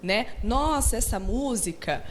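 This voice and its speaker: A woman's voice: a little high, animated, measured pace, a little hiss or hum. A woman with a Brazilian Portuguese accent, a hearing therapist guest on a TV show, explaining patiently in a studio and often adding "né?". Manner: patient, explanatory, tags sentences with "né?". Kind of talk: explanatory interview talk.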